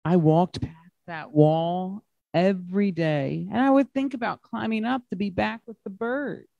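Slow-paced man reading to children. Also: high-pitched, creaky, a little mellow, no echo, lo-fi sound. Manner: asks the listening children questions, simple emphatic phrasing. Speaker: guest reader at children's story time. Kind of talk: expressive picture-book read-aloud to children. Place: large glass-walled library room.

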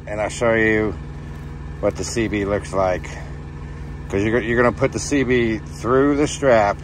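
A middle-aged American man giving a casual hands-on tutorial as a trucker. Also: on the low side. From United States. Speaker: trucker and channel host. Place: inside a semi truck cab. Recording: noisy truck cab with engine hum.

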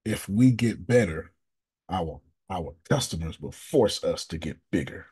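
A man hosting a casual coaching call over video, animated and emphatic, with slangy, repetitive phrasing. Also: sits low, a little slow, husky. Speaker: business coach and host.

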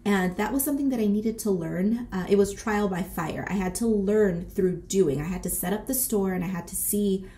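Congested, sassy woman chatting, mellow tone. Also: mid-range voice, normal speed, few pauses. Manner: sassy, animated, peppered with slang and swearing. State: congested, short of breath from a lingering cold. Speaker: boutique owner and vlogger. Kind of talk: casual chatty vlog. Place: small decorated room indoors.

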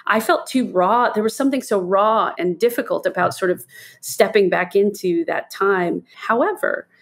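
A woman giving reflective interview commentary as a theatre professional. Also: medium pitch.

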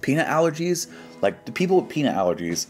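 A man's voice: a little high, big pitch swings, quick pace, darkish tone. A man giving casual, slangy, skeptical commentary and reading posts aloud in a joking way. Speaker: host of a reaction show. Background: background music.

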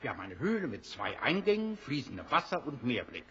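Spirited engineer, wildly swinging husky voice. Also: male voice, medium pitch, brisk, lo-fi sound, exaggerated voice. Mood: pleased, confident. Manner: lively, exclamatory, practical. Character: decisive, spirited engineer leading castaways. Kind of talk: German audio drama acting.

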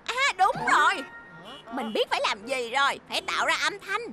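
A hasty young van in a cartoon; very high and animated. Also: female voice, moderate pace, loud music behind the voice. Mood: urgent. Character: young male delivery van, eager and hasty. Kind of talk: Vietnamese cartoon dub.

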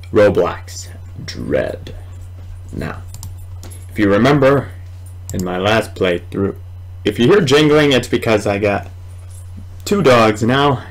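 A young man with a low voice hosts a casual gaming video from a small room at home. He rambles along slowly and haltingly, with a very lively range that can swing upward. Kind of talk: casual let's play commentary.

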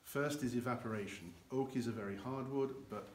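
A man's voice: mid-range voice, brisk. An older man explaining cognac aging as a guide in a warehouse, gesturing with his hands as he talks, recorded by a camera a few meters away. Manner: explanatory, gestures with both hands while talking. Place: large dim barrel warehouse with earthen floor. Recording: echoey warehouse, faint noise.

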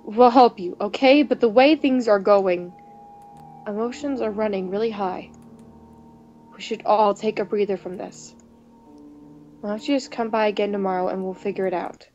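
A woman voicing a gentle young man in a visual novel, concerned and keeping the peace. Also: mid-range voice, normal speed, pauses often, highs cut off, soft background music, natural voice. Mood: placating.

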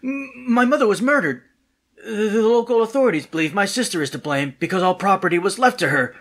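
An actor playing an anxious, polite man desperate for help in an audio drama, with a husky voice and a hesitant, earnest manner. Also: sits high, lively intonation, a little fast, a bit bright. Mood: distraught.

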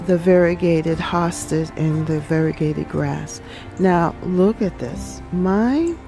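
Soft, slow-talking woman touring her garden. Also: fairly low pitch, creaky. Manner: relaxed, reflective, hesitant with many ums. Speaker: garden channel host. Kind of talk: casual garden tour vlog. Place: outdoors in a backyard garden.

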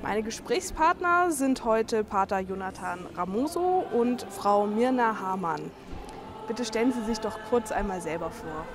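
A woman hosting a podcast, calmly asking clear interview questions. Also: mid-range voice, measured pace, music in the background. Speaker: podcast host.

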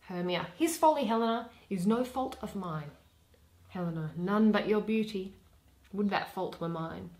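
A young woman reading a play in verse aloud, dramatically and expressively, pausing between phrases. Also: mid-range voice, a little mellow. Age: young adult. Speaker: reader of a Shakespeare play. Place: small plain room indoors.

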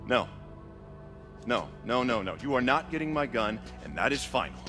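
A man's voice: mid-range voice, sing-song, moderate pace, close to an everyday voice. An actor playing a straight-laced, protective older brother in a video game, earnest and pleading in his exasperation. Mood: exasperated. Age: young adult. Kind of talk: cinematic video game acting. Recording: dramatic music behind the voice.

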